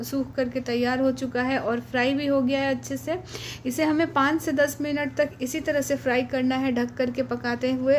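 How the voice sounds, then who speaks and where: A high, fairly even-toned woman talks patiently through each cooking step, often pausing with a soft "uh" as she goes. Her home voice-over carries a faint hiss of background noise underneath. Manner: patient, explanatory, frequent "uh" pauses. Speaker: cooking channel host.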